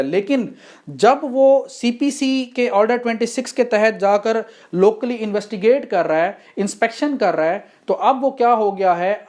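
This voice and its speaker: A woman's voice: medium pitch, a little mellow. A woman with a Pakistani accent lecturing on law in an explanatory, didactic way, often posing questions and answering them.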